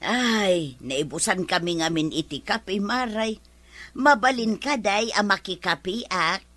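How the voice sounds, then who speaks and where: A woman's voice: medium pitch, expressive, takes it slowly, a bit bright, dry recording. A woman playing an insistent, grumbling older village woman in a comedy drama. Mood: eager, sweet. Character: nagging older village woman begging to buy on credit. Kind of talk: comedy radio-style drama acting.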